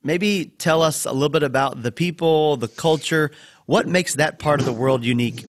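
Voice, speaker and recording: A man hosting a podcast interview, introducing his guest and asking questions in a warm, friendly way. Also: a little high, normal speed. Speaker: podcast host.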